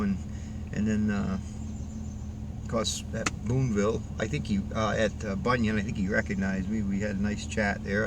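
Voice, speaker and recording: A man in his fifties, a logger, chatting casually to the camera from inside his pickup truck, rambling and folksy with lots of fillers and restarts. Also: fairly low pitch. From Rhode Island. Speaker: logger and vlogger. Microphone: dashboard camera in front of him. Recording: distant car mic with road and outdoor noise.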